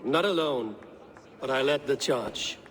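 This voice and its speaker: An actor playing a bold young Viking warrior who speaks in a steady, plain, proud way. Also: male voice, mid-range voice, measured pace, lightly acted.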